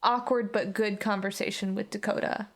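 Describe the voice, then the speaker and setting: A woman's voice: mid-range voice, steady tone, moderate pace. A woman casually improvising her character's story in a tabletop roleplaying game, hesitant and informal. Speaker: player on an actual-play podcast.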